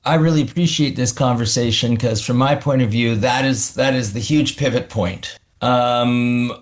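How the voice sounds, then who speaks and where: A man hosting a podcast interview, talking reflectively and searching for his words. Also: mid-range voice. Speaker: podcast host. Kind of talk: casual interview conversation.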